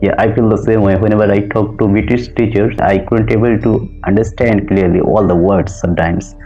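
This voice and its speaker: A man with an Indian accent practicing English with a tutor over a video call, polite and hesitant, pausing on "uh" and saying "ma'am". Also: lowish voice, fairly even intonation, mellow. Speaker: English learner. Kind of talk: casual lesson chat. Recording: dull lo-fi call audio with faint background music.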